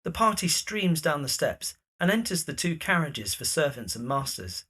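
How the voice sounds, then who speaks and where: A man narrating a period audio drama from a script, describing the scene in a husky voice. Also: a little high, very animated, moderate pace, sharp tone.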